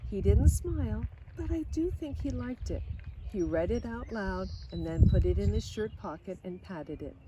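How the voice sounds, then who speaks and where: A woman reading a children's picture book aloud in an expressive way, now and then speaking directly to her listeners. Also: mid-range voice, highs cut off, very noisy. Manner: expressive, pausing for short asides to listeners. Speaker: storybook reader.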